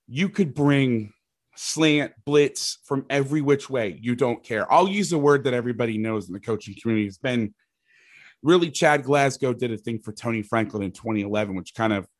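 A middle-aged man with a loud voice, a football coach explaining schemes in loose, rambling chat. His pitch sits at an ordinary middle for a man. The sound is close and dry, with no room around it. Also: no echo. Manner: rambling, restarts sentences, occasional mild swearing. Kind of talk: casual podcast chat, explaining defensive schemes.

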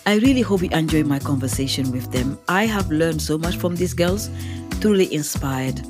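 Low-voiced woman podcast host. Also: lively intonation, normal speed, over music. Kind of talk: interview podcast.

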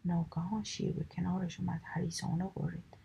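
A woman reading animal fables aloud in a soft, steady whisper, storytelling for relaxation. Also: fairly low pitch, vocal fry, a little hiss or hum.

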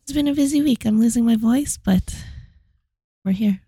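Young woman, dark voice, losing her voice. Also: fairly high pitch, moderate pace, husky, no echo, clean studio sound. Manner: laid-back, enthusiastic with swearing. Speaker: podcast co-host. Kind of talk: casual chat.

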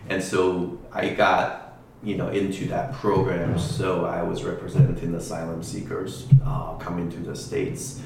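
A man who grew up in Taiwan, a real estate attorney giving halting answers with many ums in a casual interview. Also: low-pitched, completely flat delivery, gravelly, noisy background. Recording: distant echoey mic with music behind.

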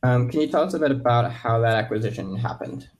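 A man with a medium-pitched voice hosts a casual interview. He puts his questions informally, with slightly hesitant phrasing. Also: fast talker, darkish tone. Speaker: interviewer, meetup host.

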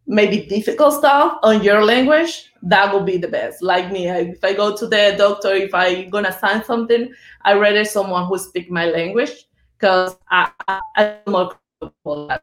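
A woman's voice: medium pitch, reverberant. A woman who speaks Spanish natively, talking in English with a Spanish accent as a guest on a business podcast. She talks earnestly and simply. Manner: earnest, plain-spoken, speaks simply in a second language. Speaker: title company business development executive.

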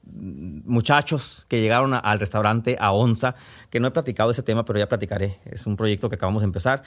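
A man with a Sonoran Mexican accent hosting a podcast, chatting casually in a folksy, halting way with asides as he reads out messages. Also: medium pitch. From Sonora, northwest Mexico. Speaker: podcast host and storyteller. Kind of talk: casual chat, reading out listener messages.

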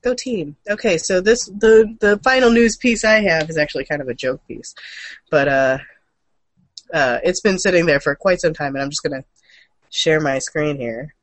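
A woman's voice: low voice, moderate pace, dry recording. A woman co-hosting a casual news chat, with an irreverent, joking and sweary way of talking. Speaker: show host.

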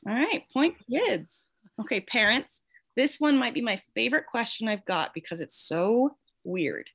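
A woman runs a casual spoken quiz as the quizmaster. Her voice sits a little high and moves with a very animated range. She sounds encouraging as she puts questions and responds to answers. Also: a little slow, gravelly. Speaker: guest quizmaster.

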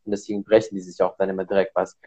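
Low-voiced male podcast host, dull sound. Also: flat delivery, rapid-fire, warm tone. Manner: explains at length, many fillers. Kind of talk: casual podcast conversation.

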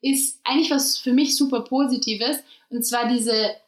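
A young Austrian woman chats on a podcast with a fairly high voice, speaking in quick, lively bursts. The room gives her voice a roomy echo. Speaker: podcast co-host. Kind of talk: casual chat.

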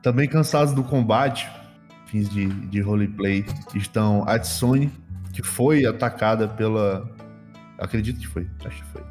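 A Brazilian man improvising tabletop game narration as game master, halting and often repeating his phrases. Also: on the low side, a little mellow. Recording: long hall reverb, music behind.

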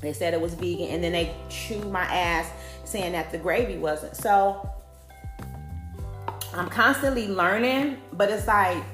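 A woman's voice: on the low side, unhurried, fairly bright tone. Woman, forties, podcast host: frank and profane, loose conversational delivery, roomy echo with faint music behind. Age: 40s. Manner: blunt, profane, conversational, says "like" a lot. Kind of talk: candid personal monologue.

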